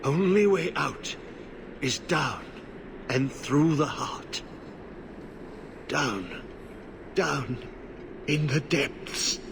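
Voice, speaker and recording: An actor playing a half-mad, blighted old warden in a video game, muttering in halting, repetitive fragments. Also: male voice, fairly high pitch, animated, vocal fry, noisy background, exaggerated voice. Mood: urgent, cryptic. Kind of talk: dramatic video game acting.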